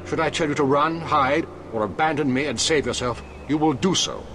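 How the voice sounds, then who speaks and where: An older actor playing a grave, commanding elderly wizard headmaster in a video game, speaking solemnly. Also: male voice, a little high, background noise, lightly acted. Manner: solemn, measured.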